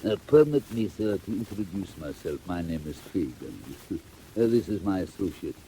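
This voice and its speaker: Elderly British villain: low, wheedling, falsely polite, hesitant; vintage radio-drama style with some surface noise. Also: male voice, big pitch swings, normal speed, dark tone. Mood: ingratiating. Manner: oily, hesitant, chuckling, mock-courteous. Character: sly, wheedling old male crook running a boy gang. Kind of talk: 1940s dramatised audio acting. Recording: noisy vintage 1940s record.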